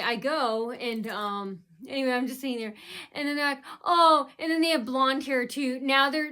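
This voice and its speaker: A woman's voice: a little high, bright, forceful tone. A woman from the United States talking in a rambling casual monologue, full of ums and uhs and constantly circling back with "so anyway.". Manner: meandering, keeps restarting with "so anyway"; heavy fillers, um and uh. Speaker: vlogger.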